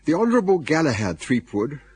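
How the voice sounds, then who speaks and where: A man's voice: on the high side, sing-song, fairly quick, darkish tone, a little hiss or hum. A man with an English accent narrating a radio drama in a wry, droll storytelling manner.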